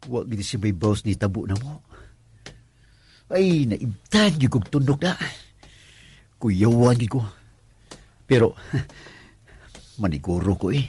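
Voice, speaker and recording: An actor with a Cebuano Filipino accent playing an anxious, stammering working-class husband in a radio drama. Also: male voice, mid-range voice, sing-song, slow, long pauses, warm tone, a little hiss or hum. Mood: nervous, flustered. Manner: stammering, hesitant. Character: anxious working-class condo worker, husband worried about wife's debts.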